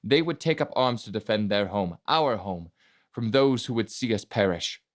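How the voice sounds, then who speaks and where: A man voicing a bold, passionate young prince in an audiobook, making deliberate, commanding speeches. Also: lowish voice, animated, close to an everyday voice. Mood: impassioned. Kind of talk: dramatic audiobook character reading.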